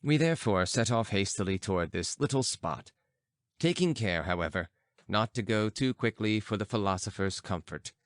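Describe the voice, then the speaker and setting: Expressive man narrating an audiobook, dry sound. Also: on the low side, normal speed, no room sound. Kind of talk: scripted literary narration with acted dialogue.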